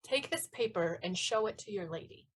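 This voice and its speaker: A woman's voice: mid-range voice, expressive, normal speed, husky, rough recording. A woman playing a lovesick, impetuous teenage page boy in a theatrical period comedy, speaking ardently and insistently in florid lines. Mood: pleading.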